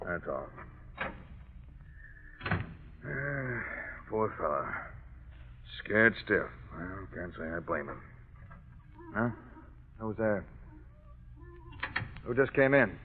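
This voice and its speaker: An actor playing an earnest, authoritative prison warden in vintage radio drama, with a formal, measured delivery. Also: male voice, on the low side, very animated, a little hiss or hum, full character voice. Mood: uneasy. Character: earnest authoritative male prison warden, businesslike, somewhat jumpy. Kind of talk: 1930s-40s radio drama acting. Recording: tinny narrow-band vintage radio recording.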